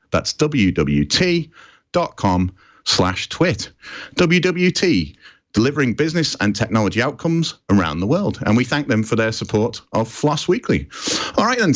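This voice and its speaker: British guy hosting a podcast, chatty and informal, sound's a bit muffled lo-fi. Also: mid-range voice. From Britain. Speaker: podcast host.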